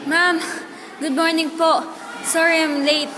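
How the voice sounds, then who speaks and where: A young woman playing a studious, tired teenage high school girl in an amateur school radio drama. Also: flat delivery, slow, background noise. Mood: flustered.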